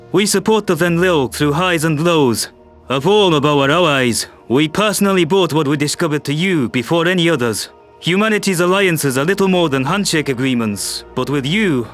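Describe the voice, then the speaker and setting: Man playing a higher-voiced, statesmanlike world leader. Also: a bit bright. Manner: formal, statesmanlike. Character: composed male human world leader, diplomatic, measured. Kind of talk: audio drama dialogue.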